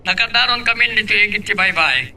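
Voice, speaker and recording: A man playing a well-off businessman and father in an Ilocano audio drama, brisk and commanding. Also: high voice, expressive, background noise. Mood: businesslike. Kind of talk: Ilocano radio-style drama acting.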